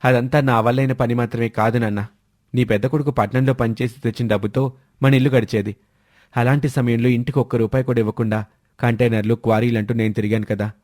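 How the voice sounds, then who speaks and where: A man's voice: medium pitch, steady tone, quick pace, a little mellow, close to an everyday voice. A man playing a dutiful grown son in a family story, earnest and respectful. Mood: humble. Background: background music.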